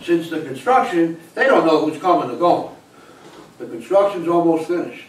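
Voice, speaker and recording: Heard from across an echoey theater, a stubborn old grandfather played by an older man argues drily in a high-pitched voice. He is a thrifty immigrant in a comic stage play. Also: expressive, normal speed, close to an everyday voice. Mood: argumentative. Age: older, seventies or over. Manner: dry, argumentative. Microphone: distant camera microphone in the audience. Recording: distant, echoey stage recording with noise.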